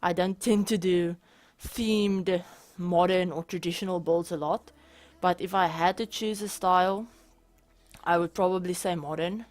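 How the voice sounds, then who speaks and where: A woman's voice: on the low side, fairly even intonation, a little slow, a little mellow. A young woman with a South African accent, chatting through viewer questions in a casual gaming vlog, with frequent restarts and small laughs. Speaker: gaming YouTuber. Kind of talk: casual Q&A over a speed build.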